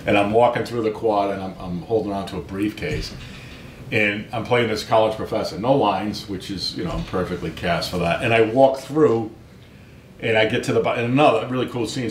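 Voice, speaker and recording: A middle-aged American man chatting as an interview guest, telling anecdotes in a self-deprecating, conversational way full of check-in tags. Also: brisk. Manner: self-deprecating, chatty, peppers talk with 'right?' and 'you know'. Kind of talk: casual anecdotal storytelling in an interview. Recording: roomy echo, low rumbling background noise.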